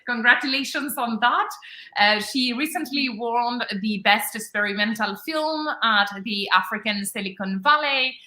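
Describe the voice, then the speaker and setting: A woman's voice: on the high side, normal speed, bright, forceful tone. A woman from southern Spain with a Spanish accent, formally introducing a guest as the chair of an academic event. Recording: roomy, echoing sound.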